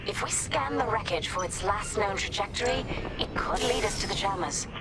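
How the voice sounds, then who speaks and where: An actress playing a calm, watchful squadron pilot woman in a video game, speaking in clipped military phrases. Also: medium pitch, normal speed, very noisy, lightly acted. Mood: focused, approving. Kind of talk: cinematic video game voice acting. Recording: loud music over the voice.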